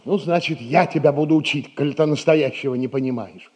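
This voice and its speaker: An actor playing a pompous, dissolute middle-aged merchant in a Russian stage comedy, speaking in florid, sighing phrases. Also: male voice, fairly high pitch, brisk, a little mellow, close to an everyday voice. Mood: worried. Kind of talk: 1960s Russian stage comedy acting for audio.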